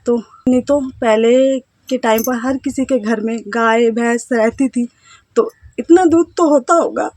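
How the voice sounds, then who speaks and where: A woman in her thirties from India, a housewife telling her life story to camera in a halting, hesitant way. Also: fairly high pitch, a little mellow, dry recording. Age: 30s. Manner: hesitant, halting, with self-conscious laughs and trailing phrases. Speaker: vlogger, housewife. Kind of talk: casual personal storytelling to camera.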